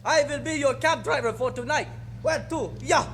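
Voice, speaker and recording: Odd, literal cabbie for radio comedy; extremely high voice, stilted broken English. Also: male voice, moderate pace, fairly bright tone, a little hiss or hum. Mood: deadpan. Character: odd, literal-minded foreign cab driver.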